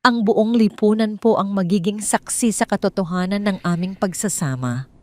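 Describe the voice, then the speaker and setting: Woman playing a mellow, composed, polite wife. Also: medium pitch, normal speed, dry recording, lightly acted. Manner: polite, respectful, controlled. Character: composed, polite, quietly calculating middle-aged wronged wife.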